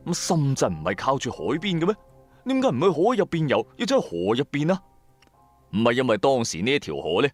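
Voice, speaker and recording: Male narrator for Cantonese audio drama: colloquial, lively storyteller with big pitch swings, addressing listeners directly, music underneath. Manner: colloquial, animated storyteller with rhetorical asides. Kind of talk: dramatised first-person storytelling.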